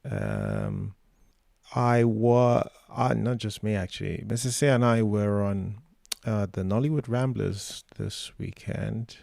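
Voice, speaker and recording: A man hosting a film podcast, croaky while getting over an illness, talking casually with lots of ums. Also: on the low side, slow pace, no echo, studio quality. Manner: relaxed, rambling with many fillers. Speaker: podcast host.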